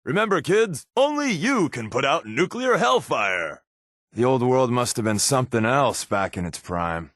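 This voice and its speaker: An American actor playing a sardonic, wisecracking secret agent companion in a video game, with a wry, offhand delivery. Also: male voice, mid-range voice, very animated, a little slow, fairly bright tone, dry recording, clean studio sound. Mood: sarcastic.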